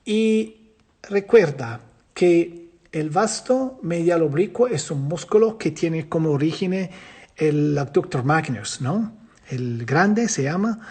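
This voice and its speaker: Lecturer, male, non-native Spanish speaker, husky and a bit high, lively self-questioning delivery. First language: not Spanish. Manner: lively, asks and answers his own questions. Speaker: physiotherapy lecturer. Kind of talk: teaching a course, explaining slides.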